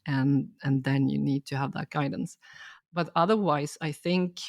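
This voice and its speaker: Lady, a bit of vocal fry, calm explainer, dry close sound. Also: deep voice, measured pace, no echo. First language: Swedish. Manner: thoughtful, explanatory, steady. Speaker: guest expert. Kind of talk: reflective podcast conversation.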